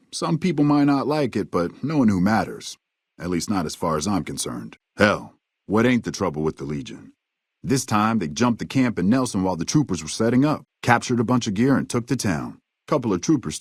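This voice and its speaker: A gruff American ranger for a video game, with a very low voice that swings freely up and down. He is cynical and folksy, drawling out sardonic tough talk, and the sound is close and dry. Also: no room sound. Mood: grudgingly grateful, then grim. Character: gruff, wry, cynical frontier army ranger man. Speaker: game character voice actor. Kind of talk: video game dialogue lines.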